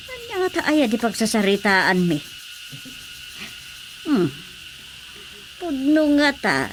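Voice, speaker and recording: Slow-talking woman in a radio drama. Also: mid-range voice, slight background noise, poor audio.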